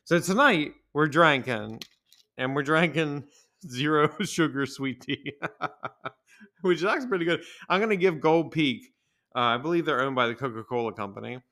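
A man's voice: a little high, expressive, moderate pace, bright, forceful tone. Stuffy-voiced man hosting a podcast. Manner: rambling, crude, jokey. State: stuffy with a cold. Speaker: podcast host. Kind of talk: casual solo podcast monologue.

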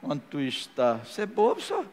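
A fairly high-voiced man preaches in a church hall, his words trailing off into a long reverb. He builds from conversational teaching to fervent, forceful declarations, while soft music plays underneath. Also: wildly swooping pitch, moderate pace. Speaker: pastor. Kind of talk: sermon and closing prayer. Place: church hall, live before a congregation.

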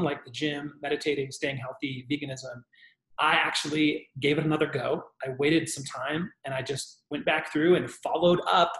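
A man's voice: a little high, fairly bright tone, roomy sound, rough recording. A man coaching on dating, walking through his text messages in a casual teaching tone. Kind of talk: talking-head advice video.

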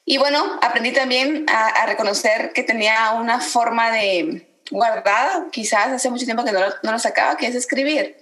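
A woman from Guatemala, introducing herself on a podcast in a reflective way, pausing often. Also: a little high, fairly bright tone, reverberant. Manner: reflective, many pauses and fillers. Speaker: podcast guest. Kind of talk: casual self-introduction.

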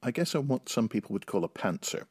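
A man's voice: mid-range voice, very animated, fast talker, darkish tone, husky, no echo, studio quality. A middle-aged man from Northern Ireland, speaking as a writer and podcast guest. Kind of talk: interview answers and reading own short story.

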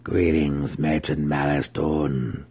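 A man reading a story aloud, voicing a mocking otherworldly demon handmaiden in a slow, overly smooth voice. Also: very deep voice, little pitch movement, warm tone, voice pushed down, exaggerated voice. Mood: mocking, calm.

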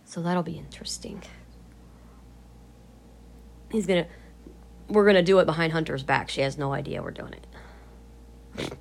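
A woman vlogging in a casual, rambling way, her voice a little raspy from allergies, blunt and free with swear words. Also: low-pitched, normal speed, long pauses, a little hiss or hum. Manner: chatty, blunt, swears freely. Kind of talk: casual rambling vlog chat.

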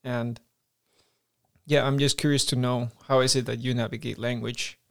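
A male podcast host asks his guest long, thoughtful questions that wind their way to the point. His voice is gravelly and sits at a middle pitch. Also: level tone, moderate pace. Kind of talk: interview questions.

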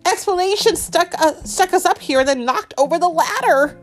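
A woman's voice: extremely high pitch, animated, moderate pace, faint music behind, pitched above the performer's own voice. A woman voicing a flustered, indignant talking letter from a children's storybook, exclaiming urgently. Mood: panicked. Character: flustered, indignant lowercase-stuck capital letter G.

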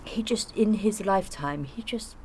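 A woman's voice: medium pitch, lively intonation, normal speed, a little mellow, slight background noise. A woman in informal conversation, explaining and recollecting in a rambling way. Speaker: artist being interviewed.

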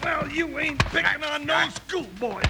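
An actor playing a big, folksy, grumbling comic cowboy sidekick with a Western American drawl in a 1950s radio western. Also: male voice, very high-pitched, animated, measured pace, over loud music, heavily acted. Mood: taunting. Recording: noisy old-time radio recording.

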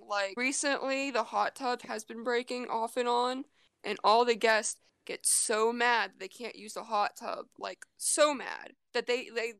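A woman's voice: on the high side, fairly bright tone, clean tone, no echo. A woman in her twenties chatting as a podcast guest, rambling and giggling with lots of fillers. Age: 20s. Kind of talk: casual chat.

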